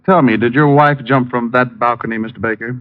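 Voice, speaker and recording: A man's voice: mid-range voice, fairly even intonation, brisk, natural voice. An actor playing a dry, wisecracking male private detective in a 1950s radio drama, narrating his own case. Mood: wry. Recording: dull lo-fi old radio broadcast.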